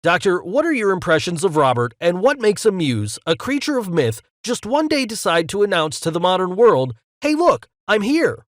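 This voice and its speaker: A man acts as a news host in an audio drama, with a high voice that swoops theatrically. The delivery is emphatic and full of wonder, recorded close and dry. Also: big pitch swings, moderate pace, few pauses, no room sound. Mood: intrigued. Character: excitable male studio news host. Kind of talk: broadcast presenter acting in audio drama.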